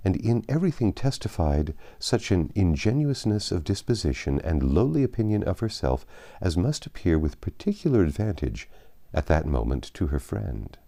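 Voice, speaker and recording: A man with a very deep, mellow voice narrates classic literature. His intonation is lively and varied, rising and falling through long sentences. He phrases in a measured way, drawing out words for emphasis. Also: gravelly. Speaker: audiobook narrator.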